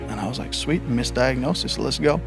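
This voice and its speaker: A man's voice: mid-range voice, fairly quick, vocal fry, music in the background. A man in his thirties from Barbados with a part Barbadian, part American accent, telling stories as a podcast guest and joking at his own expense with lots of fillers. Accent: Barbadian mixed with American. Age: 30s. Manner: joking, self-deprecating, with frequent fillers and restarts. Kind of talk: casual storytelling interview.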